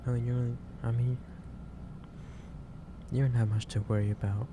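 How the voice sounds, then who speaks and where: A man playing a gentle, caring office boss in a soft-spoken ASMR roleplay, talking in a halting, reassuring way. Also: medium pitch, flat delivery, close to an everyday voice. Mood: sheepish, caring. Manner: halting, reassuring, unhurried delivery. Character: gentle, caring male office boss lecturing an overworked employee. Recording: clearly noisy background ambience.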